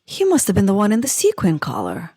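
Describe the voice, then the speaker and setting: A woman playing a dry, sardonic female agent in a comedic audio drama, with a deadpan delivery. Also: medium pitch, fast, husky. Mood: wearily sardonic.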